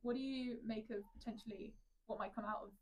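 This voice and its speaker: A woman's voice: fairly high pitch, fairly even intonation, brisk, darkish tone, poor audio. A woman co-hosting a political podcast, chatty and enthusiastic, with frequent fillers. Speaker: podcast co-host. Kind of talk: casual political chat.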